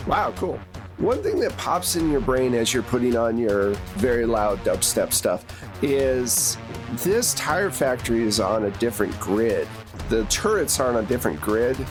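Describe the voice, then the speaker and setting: Male game master, plain-spoken narrator, middle pitch, music underneath. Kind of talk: narrating a tabletop roleplaying game. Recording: music behind the voice.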